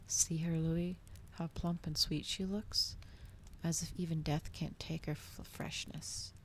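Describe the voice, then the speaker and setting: A woman voicing a calm, persuasive male vampire in a soft, coaxing ASMR story reading. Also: deep voice, measured pace.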